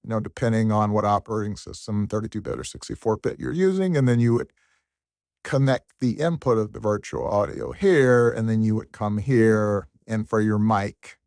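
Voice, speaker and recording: Lowish-voiced man, dry sound. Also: moderate pace, a little mellow, no echo. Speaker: tutorial presenter. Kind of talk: informal unscripted screen tutorial.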